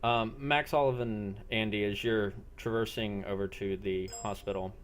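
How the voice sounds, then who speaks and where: A man narrating an improvised role-playing game as game master, halting and pausing between phrases. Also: on the low side, steady tone, a little hiss or hum. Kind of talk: improvised narration of a tabletop-style role-play.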